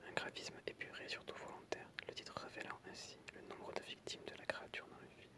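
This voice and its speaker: An ASMR creator whispering in French, reading aloud softly with informal, hesitant asides. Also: a little fast.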